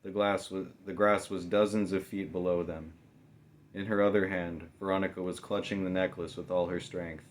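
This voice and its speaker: A man's voice: sits low, level tone, normal speed, slight background noise. A man narrating a fantasy novel as a scripted, dramatic audiobook reading. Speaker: author narrating own novel.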